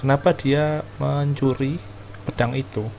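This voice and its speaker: A man's voice: medium pitch, steady tone, moderate pace, a little mellow. A man with an Indonesian accent giving casual, informal gameplay commentary as a streamer, mixing in English words. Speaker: game streamer. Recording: clear music behind the voice.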